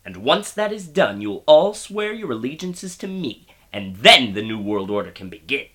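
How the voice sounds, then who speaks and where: A man's voice: medium pitch, sing-song, normal speed, bright, forceful tone, slightly rough, full character voice. A man playing a grandiose, short-tempered mad scientist villain in a radio play, with theatrical delivery.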